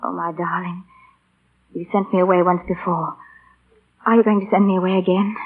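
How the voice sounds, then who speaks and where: A woman's voice: lowish voice, steady tone, a little fast, breathy edge. An actress playing a passionate, strong-willed young woman with an intense, imploring manner in a 1940s radio drama. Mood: pleading. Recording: thin, muffled 1940 radio broadcast.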